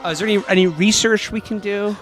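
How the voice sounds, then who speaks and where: Slightly high, halting male player. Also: fairly even intonation, fairly bright tone, faint music behind. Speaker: player in a tabletop game. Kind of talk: casual improvised role-play chat.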